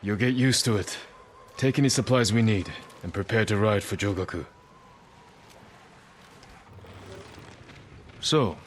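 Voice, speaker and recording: An actor playing a steadfast young samurai lord in a video game cutscene, terse, measured and serious. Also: male voice, on the low side, a little hiss or hum, close to an everyday voice. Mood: calm, commanding.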